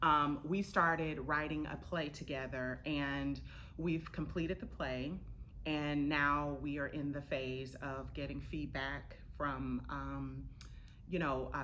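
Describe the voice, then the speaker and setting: Low, bright-voiced woman in conversation. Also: a little hiss or hum. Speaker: interview guest, actor, director and writer. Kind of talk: casual interview chat.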